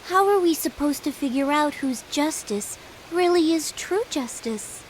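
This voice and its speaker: A woman voicing a gentle, innocent teenage girl in a video game, soft and wondering. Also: high-pitched, expressive, unhurried, a little hiss or hum, rough recording.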